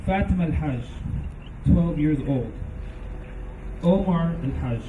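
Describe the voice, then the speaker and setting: A man at a vigil solemnly reading out a list in a measured way, heard from a distance in a noisy, echoing recording. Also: on the high side, animated, narrow, tinny audio. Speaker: reader of victims' names at a vigil.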